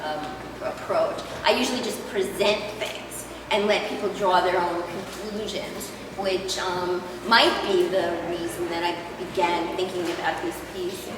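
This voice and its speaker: Halting older woman with a New York accent. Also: fairly low pitch, steady tone. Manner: halting, many ums and restarts. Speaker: artist presenting her work. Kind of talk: festival talk and Q&A. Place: large echoey venue. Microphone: distant microphone. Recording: echoey hall, far from mic, noisy.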